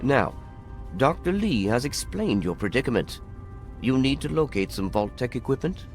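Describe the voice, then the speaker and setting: An actor playing a courteous, scholarly scribe in a video game, formal, precise and guarded. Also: male voice, mid-range voice, big pitch swings, normal speed, soft background music, lightly acted. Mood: solemn, polite. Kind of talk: dramatic video game acting.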